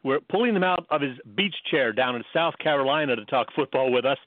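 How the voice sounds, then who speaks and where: Middle-aged man, sports radio co-host, average pitch, dry thin phone-like sound. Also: lively intonation, fairly quick, dry recording. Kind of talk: sports talk with scripted commentary segments.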